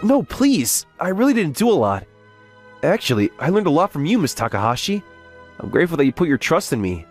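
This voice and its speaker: A man's voice: fairly high pitch, sing-song, brisk, soft background music. A man playing an earnest young office worker who narrates and voices his story in a manga dub. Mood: grateful, polite. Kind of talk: scripted first-person manga recap narration and dialogue.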